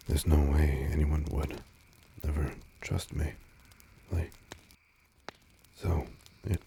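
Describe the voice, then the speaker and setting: Man playing a very low, gravelly vampire lover. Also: dark tone, slight background noise, full character voice. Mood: vulnerable, grateful. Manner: breathy, halting murmur, often dropping to whispers. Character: tender, teasing, insecure male vampire lover. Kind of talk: intimate roleplay audio drama.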